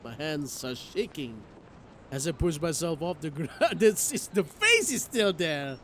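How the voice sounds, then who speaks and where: A man streaming a game, reading its story aloud in an expressive, theatrical way. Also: a little high, a little slow, a little hiss or hum. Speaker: streamer playing a visual novel. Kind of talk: dramatic read-aloud narration with live reactions.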